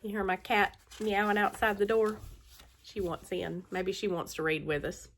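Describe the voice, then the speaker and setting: A woman's voice: mid-range voice, moderate pace. A woman reading a storybook aloud to children, adding chatty asides and questions for the listeners.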